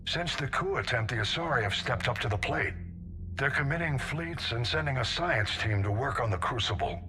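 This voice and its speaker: An actor playing a gruff, seasoned older navy admiral in a video game, blunt and authoritative. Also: male voice, mid-range voice, lively intonation, moderate pace, sharp tone, a little hiss or hum. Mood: gruff, businesslike. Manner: blunt, authoritative military briefing delivery. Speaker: military admiral. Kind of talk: scripted video game acting.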